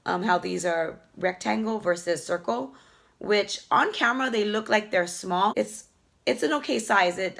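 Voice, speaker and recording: A woman beauty vlogger chatting casually through an unboxing, hoarse from a lingering cough, rambling fondly about each item. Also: mid-range voice, fairly bright tone. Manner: chatty, fond, rambling product talk with fillers. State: hoarse, losing her voice from a cough.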